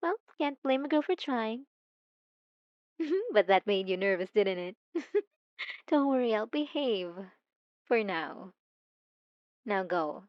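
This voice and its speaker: Woman voicing a possessive young stalker for ASMR. Soft, intimate, slow and teasing. Dry, slightly muffled sound. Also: on the high side, big pitch swings, no room sound. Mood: coaxing. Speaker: narrator speaking to the listener. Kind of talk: ASMR roleplay.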